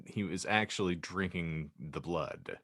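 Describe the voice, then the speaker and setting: A man's voice: low voice, unhurried, a bit bright, dry recording, clean studio sound. A man hosting a casual group podcast, speaking in a wordy, theatrical way. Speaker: podcast host. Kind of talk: casual group chat with a read-out prompt.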